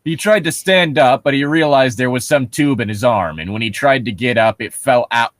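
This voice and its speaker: A man's voice: mid-range voice, normal speed, no echo, clean studio sound. A man reading a horror story aloud for comedy, breaking off into sarcastic, profane, incredulous commentary.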